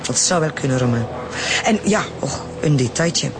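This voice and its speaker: A woman's voice: low voice, animated, moderate pace, over music. A woman with a Flemish accent playing a breezy, droll forensic doctor in a radio drama. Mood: droll, brisk. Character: breezy, joking female forensic doctor, Veronique Maas.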